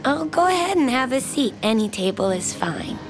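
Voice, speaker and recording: A woman playing a warm, flirty, persistent diner waitress in a naturalistic audio drama, with a folksy, coaxing way of talking. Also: high voice, very animated, normal speed, music in the background.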